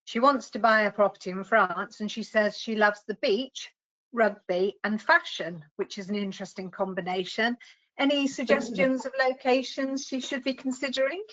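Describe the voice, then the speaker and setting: A woman moderating a webinar, briskly handing over to speakers and reading out questions. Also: on the high side, fairly even intonation, fairly bright tone. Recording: dull lo-fi call audio.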